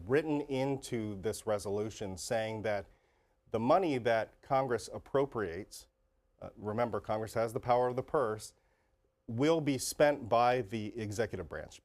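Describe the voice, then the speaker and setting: A man working as a congressional reporter, breaking down politics on a morning TV show. His voice sits at a mid pitch, and he explains at an even, conversational clip with some restarts. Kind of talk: explanatory TV interview answer.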